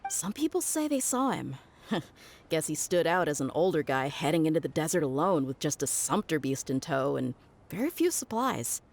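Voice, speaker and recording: A woman's voice: deep voice, sing-song, normal speed, a little hiss or hum. A woman playing a confident, easygoing desert mercenary in a video game, teasing and informal, with music behind her.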